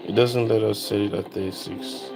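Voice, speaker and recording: A man giving a casual, unscripted electronics demonstration, thinking aloud in short, halting phrases. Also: lowish voice, steady tone, creaky, noisy background. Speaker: electronics hobbyist presenter.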